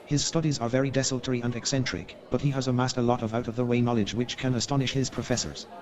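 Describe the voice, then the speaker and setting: An actor playing a courteous, gossipy Victorian gentleman in a staged radio play, formal and measured in his hesitant explanations. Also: mid-range voice, steady tone, close to an everyday voice. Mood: courteous, hedging.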